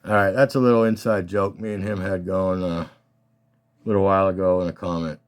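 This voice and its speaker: Low, slightly scratchy man, casual and halting. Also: fairly even intonation. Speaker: collector hosting a card showcase. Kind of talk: casual rambling show-and-tell talk.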